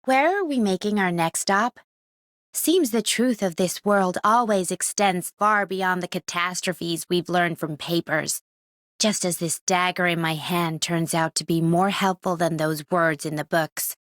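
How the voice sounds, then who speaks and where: Casting: determined bookish young woman adventurer, game. Lower-pitched, thoughtful, resolute, dry recording. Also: unhurried, fairly bright tone, dry recording. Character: bookish, determined young female explorer with a dagger. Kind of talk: video game character voice lines.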